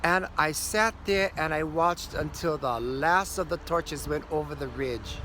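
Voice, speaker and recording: An older man from Hawaii, telling a story outdoors in a measured, earnest way and drawing out key words. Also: a little high, bright, forceful tone, a little hiss or hum. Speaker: storyteller and teacher. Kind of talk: storytelling to camera. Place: outdoors overlooking a city.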